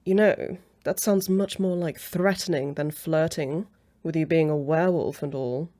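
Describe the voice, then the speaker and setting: Woman acting a low, mellow, haughty female vampire. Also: fairly even intonation, unhurried. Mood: condescending, flirty. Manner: snippy, sarcastic, easily flustered. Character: haughty, jealous, bickering female vampire, romantically obsessed. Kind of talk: ASMR roleplay.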